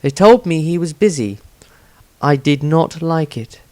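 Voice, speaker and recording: A man voicing an earnest young priestess, gentle but anxious, with careful formal phrasing in a fantasy audiobook. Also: on the high side, lively intonation, a little slow, dry recording, voice pushed up. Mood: troubled, urgent.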